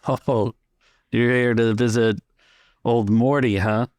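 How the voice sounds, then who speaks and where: A man's voice: mid-range voice, fairly even intonation, slow pace, a little mellow, no echo, studio quality, natural voice. A man voicing a nosy but obliging fort guard with a folksy, questioning manner in a tabletop game. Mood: curious, obliging.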